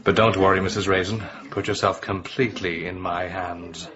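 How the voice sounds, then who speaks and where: An actor playing a flattering, theatrical hairdresser, a smooth-talking charmer in a radio comedy drama. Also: male voice, low voice, normal speed, lo-fi sound, crowd noise, lightly acted. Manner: gushing, theatrical.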